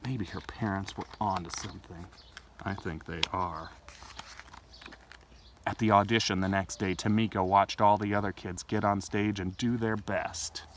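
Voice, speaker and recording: A man's voice: lowish voice, fairly even intonation, normal speed. Storytime man, slightly distant outdoor recording. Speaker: library storytime host. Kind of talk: expressive picture-book reading for children. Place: outdoors in a garden. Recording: distant mic, faint outdoor noise.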